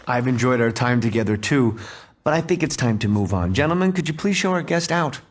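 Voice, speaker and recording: An actor playing a smug, cold corporate executive, condescending and controlled, in a video game cutscene. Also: male voice, medium pitch, animated, a little fast, a little hiss or hum, close to an everyday voice. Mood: smug, taunting.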